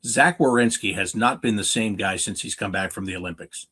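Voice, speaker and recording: A man's voice: on the low side, fairly quick, bright, forceful tone, breathy edge. A North American man giving unscripted sports analysis as a guest, emphatic, often posing questions and answering them himself. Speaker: hockey play-by-play announcer as guest analyst.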